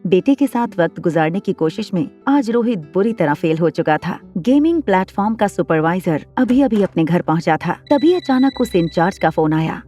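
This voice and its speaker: Mellow woman narrating a drama. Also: fairly low pitch. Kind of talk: scripted dramatic story narration. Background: soft background music.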